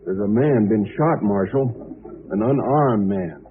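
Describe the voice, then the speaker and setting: A resolute young American cowhand in a radio western. His voice is fairly low, plain and serious. The old recording is muffled, with clear noise behind him. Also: slow pace. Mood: grim insistent. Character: earnest, resolute young trail-drive cowboy.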